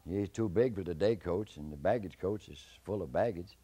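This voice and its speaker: Man voicing a fussing conductor in a folktale, drawl, lowish voice. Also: moderate pace, warm tone, slightly rough, no room sound. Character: puzzled male train conductor.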